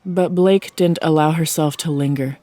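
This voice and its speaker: A woman's voice: sits low, moderate pace, warm tone, dry recording. A woman giving a scripted narration of a fiction story as its narrator, close to the microphone.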